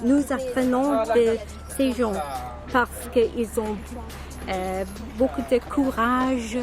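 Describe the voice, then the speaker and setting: A woman volunteer speaking haltingly, searching for words. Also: on the high side, steady tone, music in the background.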